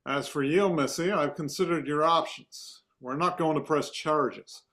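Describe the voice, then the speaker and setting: Man playing a gruff, grumbling sheriff. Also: a little high, measured pace, fairly bright tone. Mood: matter-of-fact. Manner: grumbling, exasperated, folksy. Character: gruff, weary small-town male sheriff. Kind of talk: comedic table-read acting.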